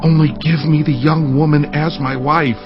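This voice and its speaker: Casting: male actor for eager, forceful biblical suitor type, fairly high voice, audio drama. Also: flat delivery, moderate pace, natural voice. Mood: eager pleading. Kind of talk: dramatized audio Bible acting. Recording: dull sound, light music behind.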